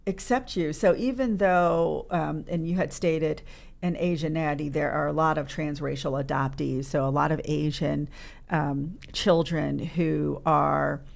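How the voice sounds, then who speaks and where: Middle-aged woman guest; low, fry-edged voice, reflective and unhurried. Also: steady tone. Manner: reflective, searching for words. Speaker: podcast guest. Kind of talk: casual interview chat. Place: podcast studio.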